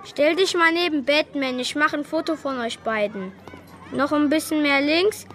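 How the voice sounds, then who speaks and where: A performer playing a cheeky, excitable schoolboy in a children's radio play, talking in enthusiastic slang. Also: high-pitched, moderate pace. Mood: excited. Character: cheeky, excitable schoolboy, loyal best friend.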